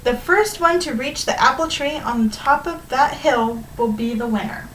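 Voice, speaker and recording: A woman's voice: high voice, normal speed, fairly bright tone. A woman voicing a polite old male tortoise from a children's storybook. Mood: challenging. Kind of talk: read-aloud children's storybook. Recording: roomy, distant mic, faint noise.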